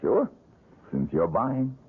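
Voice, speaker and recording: A man's voice: lowish voice, huge pitch swings, slow, husky, heavily acted. An actor playing a cynical, world-weary male painter in an old-time radio drama, curt, guarded and sardonic. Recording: muffled, narrow vintage radio recording.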